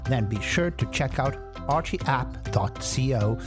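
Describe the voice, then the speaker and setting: An announcer giving a scripted sponsor read in a smooth, promotional way. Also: mid-range voice, lively intonation, takes it slowly. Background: music.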